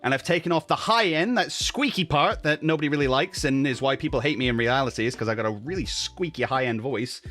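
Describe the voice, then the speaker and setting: Man, slightly high voice, casual tutorial, chatty and self-correcting, home desk mic, faint background music. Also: fairly bright tone. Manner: chatty, self-correcting, asks "okay?" often. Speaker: streamer explaining audio setup. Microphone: studio mic with noise gate and compressor.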